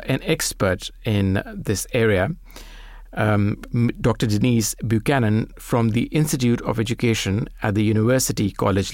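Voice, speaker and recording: A man presenting a live radio breakfast show from a studio in a measured, newsreader-like way. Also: medium pitch, dry recording. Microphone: studio microphone.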